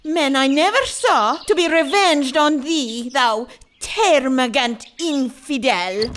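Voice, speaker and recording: A woman playing a jealous, sharp-tongued, imperious governess in a period comic audio drama. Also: sits high, slow pace. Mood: jealous fury. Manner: imperious, scolding, archaic.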